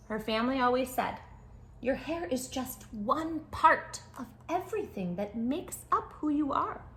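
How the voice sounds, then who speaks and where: A woman's voice: a little high, a bit bright. A woman hosting a children's storytime, reading aloud expressively with dramatic emphasis and speaking directly to young listeners. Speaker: children's book read-aloud host. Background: music.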